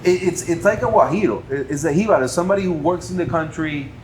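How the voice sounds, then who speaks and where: Relaxed man interpreting for a guest. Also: fairly high pitch, quick pace, a little hiss or hum, rough recording. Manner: relaxed, filler-heavy, translating for the guest. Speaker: podcast host and interpreter. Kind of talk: casual podcast chat.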